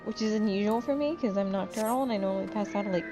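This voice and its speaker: A woman's voice: mid-range voice, rapid-fire. A very tired woman chatting casually with friends while hosting a gaming video, rambling and swearing informally. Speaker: gaming video host and player. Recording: dull lo-fi voice chat, faint music.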